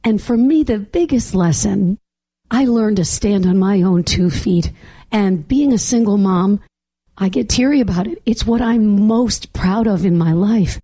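A middle-aged woman, hoarse from laryngitis, hosting an interview podcast. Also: mid-range voice, a little slow, warm tone, no echo. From Boston area. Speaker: podcast host.

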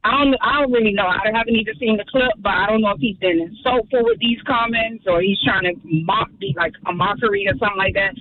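A woman's voice: medium pitch, phone-quality sound. A woman calling in to a radio talk show, chatting casually and laughing often as she rambles on. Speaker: caller. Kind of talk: casual call-in chat.

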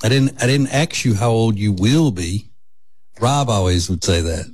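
A man's voice: lowish voice, a little mellow, slightly rough, no echo. A man, hosting a casual morning radio show from a studio and drawing out his teasing stories. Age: 50s. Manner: drawn-out, teasing storyteller.

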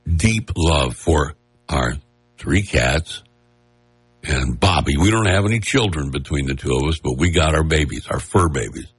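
Nasal radio guy rambling and joking on air, husky, bouncy delivery. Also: extremely low pitch, expressive, unhurried. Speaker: radio talk show host. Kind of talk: casual on-air chat. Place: radio studio. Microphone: studio mic with headphones.

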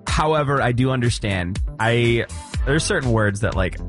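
A man's voice: mid-range voice, animated, moderate pace, faint music behind. A man hosting a radio show, chatting casually with a co-host and teasing her. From outside Chicago. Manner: playful, opinionated, teasing.

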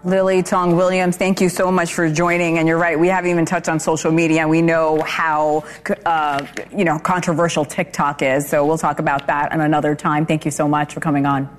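A woman news anchor interviewing a guest and introducing upcoming stories with crisp, measured delivery. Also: low voice, level tone, slight background noise.

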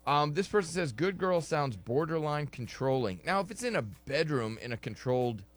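Lively male radio host, skeptical. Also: a little high, normal speed. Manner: wry, skeptical, reads listener messages. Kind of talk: casual radio show banter.

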